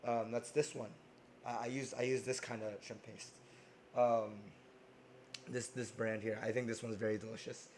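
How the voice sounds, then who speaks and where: A man with a hoarse voice hosting a casual cooking stream, chatting loosely with lots of ums and restarts. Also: mid-range voice, little pitch movement, normal speed, darkish tone, slight background noise. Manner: rambling, many ums and restarts.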